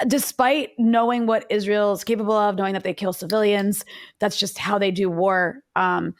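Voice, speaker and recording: A woman hosting an interview, halting, with lots of restarts and "like" fillers. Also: mid-range voice, fairly even intonation. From United States. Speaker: interview host. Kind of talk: conversational interview questions.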